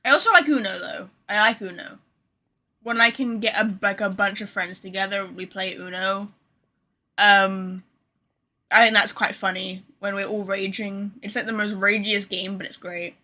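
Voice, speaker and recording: Bright-voiced young woman streaming games. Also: mid-range voice, steady tone, normal speed. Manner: informal, sweary. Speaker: game streamer. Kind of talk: casual livestream gaming commentary. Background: game music.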